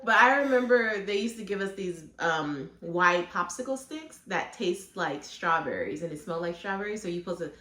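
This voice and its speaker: A woman's voice: on the low side, expressive, normal speed, hardly any pauses, fairly bright tone. A woman co-hosting a casual podcast chat, who laughs often and stutters now and then. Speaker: podcast co-host. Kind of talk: casual chat among friends. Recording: echoey room.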